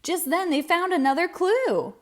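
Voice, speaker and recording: A woman's voice: very high voice, normal speed. A woman narrating a children's read-aloud story expressively, with dramatic emphasis.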